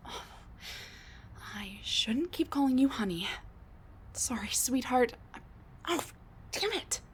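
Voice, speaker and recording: A woman voicing a scatterbrained older woman scientist who has traveled back in time to meet her husband. She stammers and restarts, full of fillers, and sometimes drops to a hushed mutter. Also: high voice, lively intonation, slow pace, slight background noise. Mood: flustered, pleading. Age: middle-aged. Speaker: audio roleplay performer. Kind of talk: audio roleplay drama.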